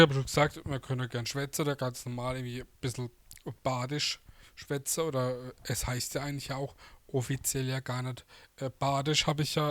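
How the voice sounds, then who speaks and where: A man's voice: medium pitch, no room sound. Baden-accent guy hosting, loose rambly questions, dead dry studio. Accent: Alemannic Baden German. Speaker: host. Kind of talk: casual radio interview.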